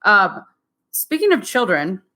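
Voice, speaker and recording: A woman's voice: medium pitch, very animated, slow, sharp tone. Irreverent woman, casual chat host. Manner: irreverent, joking. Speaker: show host.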